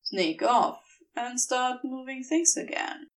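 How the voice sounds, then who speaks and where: A woman reads a male lover in an audiobook story, commanding yet tender. He speaks slowly, with amusement and a soft note of warning, a little back from the microphone. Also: expressive, distant mic, rough recording. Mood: teasing, warning. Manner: low-key amused, gently warning. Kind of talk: audiobook character dialogue.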